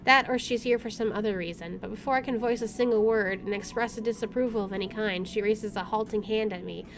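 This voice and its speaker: A woman's voice: medium pitch, fast, slight background noise. A woman hosting a playthrough, reading game text aloud and commenting casually. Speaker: gameplay host.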